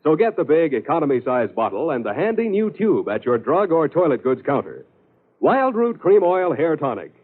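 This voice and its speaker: A man's voice: fairly high pitch, sing-song, moderate pace, slightly rough. An American man announcing and selling on 1940s radio in an emphatic, polished salesman's style. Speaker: radio announcer and sponsor pitchman. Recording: old narrow radio broadcast with music.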